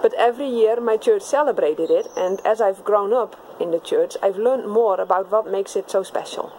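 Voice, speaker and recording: Woman, Dutch accent, children's message presenter, simple and clear, mid pitch. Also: normal speed, dark tone, slight background noise, low-quality recording. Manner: simple, clear explanations to kids. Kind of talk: friendly talk to children.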